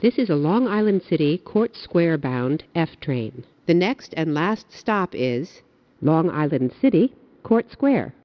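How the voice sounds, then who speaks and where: A woman giving recorded subway announcements in a formal, clearly phrased way. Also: deep voice, sing-song, a little slow, no room sound. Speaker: transit announcer. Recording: dull, lo-fi announcement audio.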